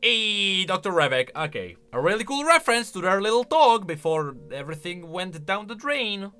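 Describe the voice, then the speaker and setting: Animated, bright-voiced man giving game commentary. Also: high-pitched, normal speed, smooth. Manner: informal, opinionated, chatty. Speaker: video game lore commentator. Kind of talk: casual reaction commentary.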